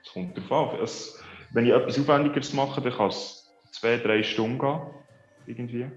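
A man's voice: mid-range voice, steady tone, moderate pace. A man with a Swiss German accent, a guest in a casual interview who thinks aloud in a reflective, hesitant way. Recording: roomy, dull lo-fi, faint music.

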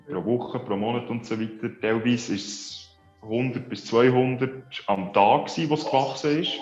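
A man with a Swiss German accent, a guest in a casual interview who thinks aloud in a reflective, hesitant way. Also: medium pitch. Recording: roomy, dull lo-fi, faint music.

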